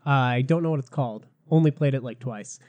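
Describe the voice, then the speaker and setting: A man hosting a casual gaming podcast, a little sick and raspy, chatty and loose, laughing often. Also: mid-range voice, fairly quick, darkish tone, dull audio. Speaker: podcast host.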